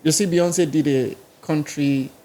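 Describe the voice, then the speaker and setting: A man's voice: a little high, steady tone, a little slow, mellow. A man with a Nigerian accent, a musician guest in a podcast interview, talking in a rambling, casual way. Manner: rambling, casual, listing places and languages.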